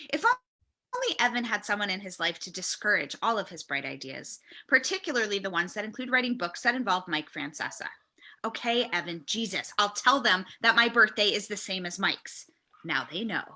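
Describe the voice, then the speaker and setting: A woman's voice: medium pitch, bright, forceful tone. A woman who works as an actress, guest reading and chatting in a casual comedic style.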